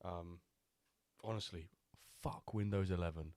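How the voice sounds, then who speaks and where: A man hosting a live stream, chatting loosely with many pauses and fillers, still a little nasal from a cold. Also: low voice, steady tone, warm tone, no echo. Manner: chatty, rambling, with many ums and restarts. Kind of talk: casual live-stream chat.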